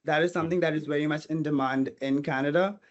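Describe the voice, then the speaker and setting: A man's voice: fairly high pitch, steady tone, quick pace, highs cut off. A man from India with a Portuguese mother tongue, a hospitality student speaking earnestly as an interview guest, thinking aloud as he goes. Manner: earnest, thinking aloud with frequent "you know". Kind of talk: casual interview talk.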